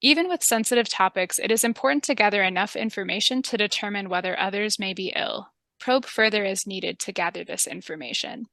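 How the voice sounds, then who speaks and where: A woman with a calm, polite and methodical manner, giving instructions in a scripted public health training video. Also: medium pitch, fairly quick, creaky, dry recording. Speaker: public health interviewer and narrator.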